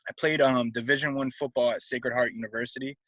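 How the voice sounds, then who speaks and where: Casting: young American man from Connecticut, fitness trainer, bright forceful tone, loose rambling guest chat. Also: medium pitch, fairly even intonation, fast, no room sound. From Connecticut, USA. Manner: rambling, many "um" and "you know" fillers. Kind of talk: casual interview talk.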